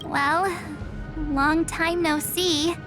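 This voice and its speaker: A woman's voice: very high-pitched, steady tone, very slow, heavily acted. A woman voicing a theatrical, girlish young woman, a playful goddess figure in a video game. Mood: cheerful, casual. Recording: loud music under the voice.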